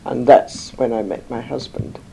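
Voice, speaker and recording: An older woman from England, slowly recalling her life in an interview, rambling with many pauses and fillers. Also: a bass voice, little pitch movement, creaky, mellow, slight background noise, low-quality recording. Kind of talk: oral history recollection.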